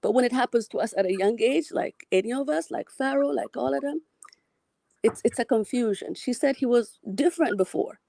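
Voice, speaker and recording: A woman's voice: on the high side, brisk, gravelly, mellow, no echo. A woman from Ethiopia with an Ethiopian accent, calling in and rambling through philosophical musings, often repeating words. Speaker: caller. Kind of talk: casual call-in chat.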